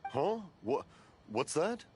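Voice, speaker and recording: Game role: jovial old father, hard of hearing, rambling storyteller with huge swings in pitch. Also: sing-song, slow, darkish tone, slight background noise. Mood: confused, cheerful. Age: older. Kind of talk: animated video game character dialogue. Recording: music behind the voice.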